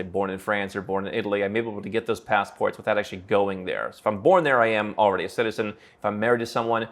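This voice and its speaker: A lower-pitched man talking fast and with confidence, straight to camera. He lays out advice as a running thought process, full of what-ifs and quick asides. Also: fairly bright tone. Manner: brisk, confident, thinks aloud with hypotheticals and fillers. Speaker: founder and host of an advisory channel. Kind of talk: direct-to-camera advice talk.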